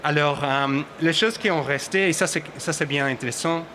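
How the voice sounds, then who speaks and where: An Australian man, English his first language, speaking on a conference panel in a bright, forward voice. He stumbles and restarts as he reaches for words, and a faint hum of the hall sits behind him. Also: on the high side. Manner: halting, searching for words, many restarts and fillers. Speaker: researcher and panelist.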